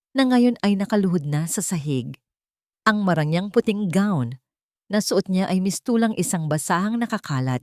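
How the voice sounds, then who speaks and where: A woman's voice: on the low side, a little mellow, no echo, clean studio sound. A woman storyteller reading dramatic scripted fiction narration.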